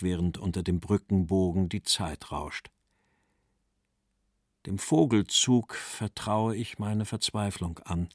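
Slow, solemn male literary reader. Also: lowish voice, dry recording, studio quality. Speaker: reader of quotations. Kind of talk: literary recitation of poetry and letters.

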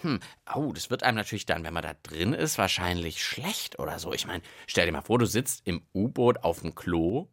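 A man's voice: low-pitched, expressive, fairly quick, few pauses, no room sound, clean studio sound. A man co-hosting a children's podcast, chatting casually and jokily as he muses aloud. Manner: jokey, musing aloud. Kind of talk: casual playful chat.

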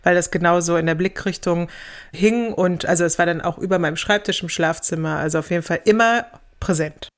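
Low-voiced woman recounting her own experience. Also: fairly quick. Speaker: interviewee telling her own story. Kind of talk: casual personal recollection.

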